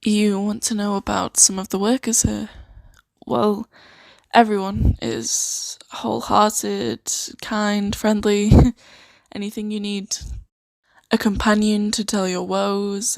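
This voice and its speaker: A woman playing a friendly, flustered sanctuary worker in an improvised fantasy ASMR roleplay, talking in a hesitant, halting, awkward way. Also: medium pitch, gravelly, darkish tone, no echo. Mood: warm, reassuring. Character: friendly, flustered young female sanctuary worker named Claire.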